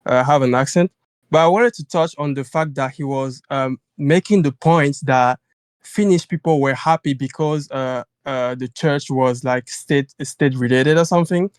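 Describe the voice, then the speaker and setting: A man's voice: medium pitch, clean tone, no echo. French guy calling in, non-native english, lots of uh's, dry close audio. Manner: halting, apologetic, many fillers. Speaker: caller. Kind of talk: casual call-in question.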